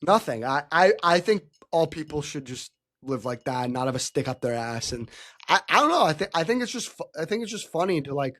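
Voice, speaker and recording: A man's voice: on the high side, expressive, brisk, fairly bright tone. A young man hosting a podcast in a loose, chatty way, full of likes and rights. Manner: chatty, many likes and rights, laughs easily. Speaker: podcast host. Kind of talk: casual chat.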